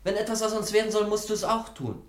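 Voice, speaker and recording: Eager, higher-voiced young son. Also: male voice, animated, fast, echoey room. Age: young adult. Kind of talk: German radio drama acting.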